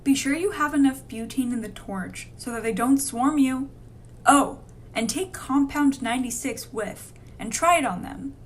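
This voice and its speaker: A woman's voice: high-pitched, normal speed, lightly acted. A woman playing an anxious, careful scientist in a horror radio drama, speaking earnestly. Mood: earnest, cautioning.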